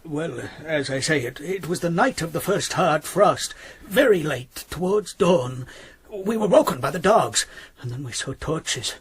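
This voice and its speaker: An actor playing an earnest, weary peasant farmer in a radio drama, plain-spoken and a little halting. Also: male voice, on the high side, lively intonation, breathy edge, exaggerated voice. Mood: sombre recounting.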